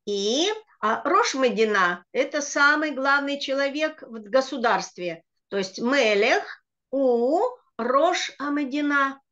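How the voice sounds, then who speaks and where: A middle-aged woman teacher speaking slowly and patiently, her voice set a little high. She walks children through each word. The sound is dull and lo-fi, as on a video call. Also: lively intonation, a bit bright. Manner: slow, patient, explaining word by word. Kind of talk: online children's Hebrew reading lesson.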